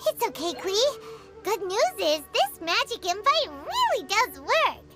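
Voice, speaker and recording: A woman voicing a small, excitable fairy girl companion in a video game, with a chirpy, squeaky, childlike voice. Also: a little slow, over loud music, full character voice. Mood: reassuring. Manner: exclamatory, speaks of herself by name. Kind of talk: video game character acting.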